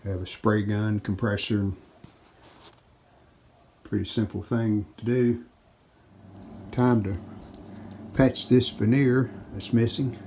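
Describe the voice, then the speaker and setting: A man's voice: fairly low pitch, slow, long pauses. Plain-spoken man over background noise. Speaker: restoration hobbyist and video host. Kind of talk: casual hands-on how-to narration. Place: home basement workshop. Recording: steady background noise, handling rustle.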